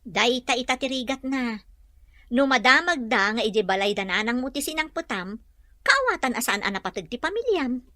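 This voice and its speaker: A woman's voice: on the high side, sing-song, moderate pace, bright, forceful tone, no room sound, studio quality. A woman acting a frank, concerned friend who questions and admonishes in a radio drama.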